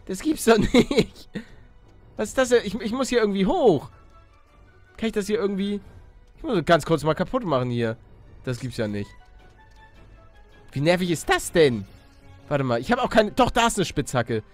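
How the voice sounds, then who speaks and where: A man doing excitable let's play commentary, exclamatory and slangy, talking to viewers and himself. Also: high voice, moderate pace, long pauses, soft background music. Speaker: gaming YouTuber and let's player.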